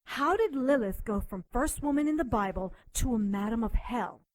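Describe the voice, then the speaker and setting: A woman's voice: on the high side, lively intonation, moderate pace, no room sound, natural voice. Woman playing a tough, sardonic occultist, dry close sound. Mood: demanding. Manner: commanding, sarcastic, threatening. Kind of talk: audio drama acting.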